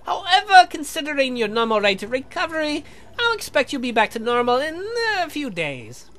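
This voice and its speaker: A man voicing a polite, pedantic young scientist in a visual novel, speaking formally and haltingly as he explains. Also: very high-pitched, very animated, a bit bright, pitched above the performer's own voice, full character voice. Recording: music behind the voice.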